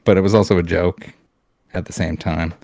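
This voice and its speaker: Low-voiced blunt man ranting. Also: steady tone, brisk, a little mellow, dry recording. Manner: blunt, heated ranting with swearing and restarts. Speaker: podcast co-host. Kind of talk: casual podcast chat.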